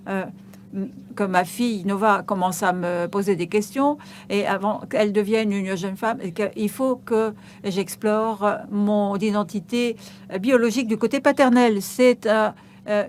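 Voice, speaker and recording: A woman giving a live interpretation of an on-stage conversation, halting, with frequent hesitations and restarts. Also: medium pitch, a little hiss or hum.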